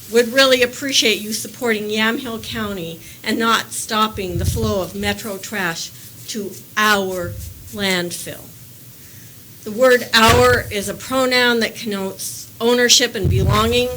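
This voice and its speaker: A woman giving measured, sharply critical public comment at a county meeting, partly reading aloud. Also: on the high side. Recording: noisy public meeting recording.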